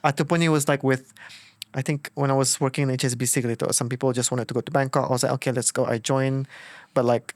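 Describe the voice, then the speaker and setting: Bruneian guy in his 30s chatting about his life, mid pitch, really dry recording. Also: fast, no room sound. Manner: informal, animated retelling with quoted thoughts. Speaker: podcast host being interviewed. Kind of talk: casual personal storytelling in an interview.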